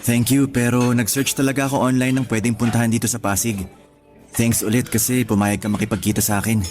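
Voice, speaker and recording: Actor as courteous, earnest male date, measured radio drama delivery, average pitch, light music bed. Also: level tone, a little mellow, close to an everyday voice. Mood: polite, shy. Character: polite, mostly serious man on a first date. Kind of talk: Tagalog radio drama dialogue. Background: background music.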